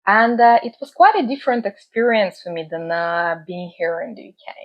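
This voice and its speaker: A woman's voice: medium pitch, animated, muffled recording. A woman, speaking earnestly and thoughtfully to her book channel's viewers. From Russia. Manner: earnest and measured, with frequent "uh" pauses. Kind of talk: reflective talk to camera. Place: home in the UK.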